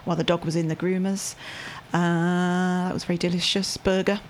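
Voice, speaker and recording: A woman's voice: on the low side, level tone, normal speed, slight background noise. A middle-aged British woman chatting casually, good-humoured and easily amused. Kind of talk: casual chat.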